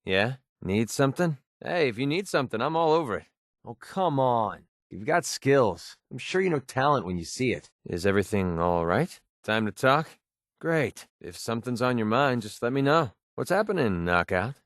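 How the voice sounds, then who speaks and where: An actor playing a cynical young mercenary sniper in a video game, with an American accent and a casual, sarcastic, streetwise way of talking. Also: male voice, mid-range voice, very animated, moderate pace, a bit bright, no room sound, studio quality. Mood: friendly, cocky. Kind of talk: video game character dialogue.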